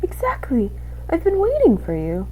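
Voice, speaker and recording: A sly male rat villain from a storybook, acted out by a woman reader. The voice is mellow and swoops widely as it oozes false courtesy and drawn-out insinuation. There is a faint noise behind it. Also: sits high, wildly swooping pitch, pitched above the performer's own voice, rough recording. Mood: oily, mock-friendly.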